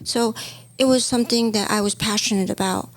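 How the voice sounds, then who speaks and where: A woman's voice: a little high, fairly even intonation, a little fast. Hong Kong-born woman telling her story. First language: Chinese. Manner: storytelling, warm reminiscing. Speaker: podcast co-host, stylist. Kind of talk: casual chat.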